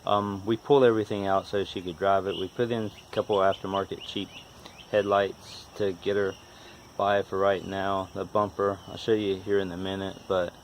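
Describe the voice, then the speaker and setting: A man in his forties with a stutter talks casually and haltingly in a folksy, self-deprecating vlog. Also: low voice, little pitch movement, slight background noise. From West Virginia, United States. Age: 40s. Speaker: vlogger, amateur car mechanic. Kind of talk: casual unscripted vlog talk.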